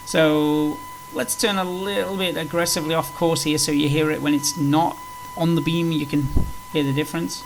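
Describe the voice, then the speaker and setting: A man's voice: a little high, fairly even intonation, moderate pace, few pauses. A man giving casual, unscripted flight simulator commentary, rambling along in an easygoing way as he plays and explains. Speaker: flight simulator video presenter. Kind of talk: casual tutorial commentary while playing. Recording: clearly noisy with background hum.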